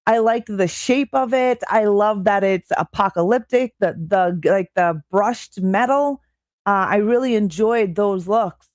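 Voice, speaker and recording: Streamer: young woman from Canada, excitable and chatty with lots of fillers, voice a bit strained, dry close sound. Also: medium pitch, a little slow, dry recording. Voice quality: slightly strained voice. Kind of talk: casual live-stream chat reading chat.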